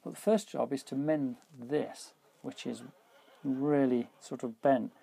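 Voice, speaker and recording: A man with a slightly husky voice gives casual hands-on repair commentary, thinking aloud informally as he works. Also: medium pitch, fairly even intonation, measured pace, mellow. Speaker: repair hobbyist presenting a video.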